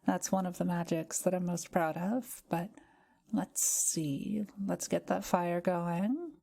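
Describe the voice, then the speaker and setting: A woman playing a kind, welcoming young witch who lives alone in the forest, speaking gently and playfully to the listener in a roleplay audio drama. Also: lowish voice, gravelly, full character voice. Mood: cozy, friendly. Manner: gentle, unhurried, softly playful.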